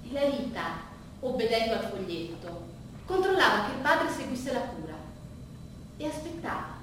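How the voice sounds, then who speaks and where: A woman with a high voice tells a story as a theatrical monologue. She phrases emphatically, leaning on repetition and dramatic pauses. The recording is heavily reverberant and slightly distant, with faint noise. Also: sing-song, a bit bright. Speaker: stage monologue performer and narrator. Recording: echoey room, distant mic, faint noise.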